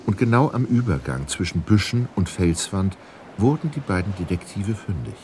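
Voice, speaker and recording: Low-voiced mystery storyteller. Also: male voice, lively intonation, normal speed, background noise. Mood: calm suspense. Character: calm storyteller narrating a detective mystery. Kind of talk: scripted audio drama narration. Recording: music clearly behind the voice.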